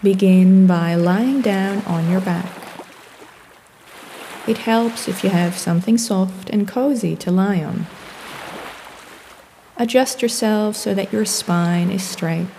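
A woman gently leads a guided meditation in a soft, mellow voice. She speaks very slowly and soothingly, giving measured instructions. Ocean waves wash quietly behind her. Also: medium pitch, a little hiss or hum. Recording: long reverb, background wash of waves. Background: relaxing ocean waves.

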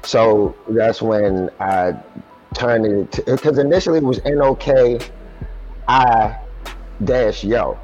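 A man being interviewed as a guest singer, telling stories in a relaxed, laid-back way. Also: mid-range voice, slow, dull audio, faint music behind. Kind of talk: casual interview.

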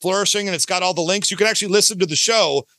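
Bright, high-pitched man, chatty podcast guest. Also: very fast, dry recording. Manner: talkative, enthusiastic, self-deprecating. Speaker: podcast guest, audio drama creator. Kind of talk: casual promotional chat.

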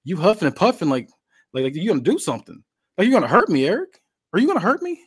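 A man's voice: fairly high pitch, sing-song, quick pace, slightly rough, no echo, studio quality, pitched above the performer's own voice, heavily acted. Man acting out a cocky, theatrical wrestling villain. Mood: mocking menace. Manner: taunting, theatrical, domineering. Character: cocky, sadistic, taunting male wrestling villain with fake remorse. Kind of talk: dramatic acted wrestling promo.

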